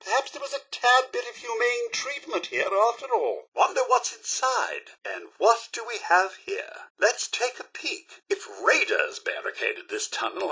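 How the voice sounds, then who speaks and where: An actor playing a prim, courteous robot butler in a video game, with a British accent and a formal, theatrical, dryly witty manner. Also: male voice, high-pitched, bright, forceful tone, breathy edge, exaggerated voice. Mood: pleased, polite, then wry dismay. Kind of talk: video game character voice lines.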